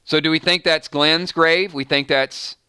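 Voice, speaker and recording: A man's voice: on the high side, fairly even intonation, unhurried, bright, forceful tone, clear, resonant tone, no echo, studio quality. A man hosting a fan live stream, chatting casually with viewers and breaking off mid-sentence with "hold on" and "wait a minute.". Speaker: fan channel host. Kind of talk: live-stream commentary reading chat.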